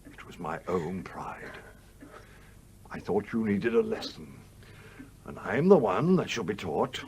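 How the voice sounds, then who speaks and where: Blustery ghostly sea captain, wildly swooping voice. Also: male voice, fairly low pitch, big pitch swings, measured pace, darkish tone, husky, heavily acted. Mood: remorseful. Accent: British. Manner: blustery, emphatic, old-fashioned turns of phrase. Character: gruff, blunt, remorseful ghost of a sea captain. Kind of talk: radio play acting.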